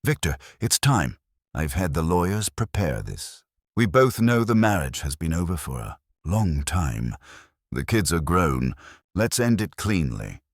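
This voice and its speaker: A man voicing a composed, determined older wife in a narrated story, brisk and matter-of-fact. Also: low-pitched, sing-song, no echo, clean studio sound, exaggerated voice. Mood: calm, firm. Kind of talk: quoted dialogue in narrated story.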